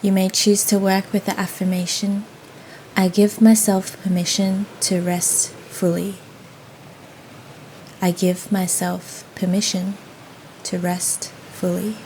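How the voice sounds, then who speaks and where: A woman leading a guided yoga nidra meditation in a soothing, gentle, unhurried manner. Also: medium pitch, rough recording. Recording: faint steady ocean noise behind. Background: ocean waves ambience.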